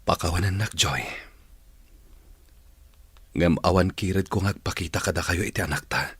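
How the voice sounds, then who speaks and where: A man's voice: low voice, normal speed, husky, dry recording, exaggerated voice. An actor with an Ilocano accent playing a remorseful, devoted businessman in an emotional radio drama, earnest and pleading. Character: remorseful, devoted businessman pleading with his long-lost love. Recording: radio drama with loud music bed.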